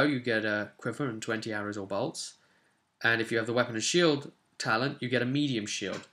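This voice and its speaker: A man's voice: mid-range voice, sharp tone. A man in his twenties giving an informal, instructive tutorial, talking conversationally and thinking aloud as he works through steps in a room at home. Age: 20s. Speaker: tabletop roleplaying tutorial host. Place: small home room, indoors.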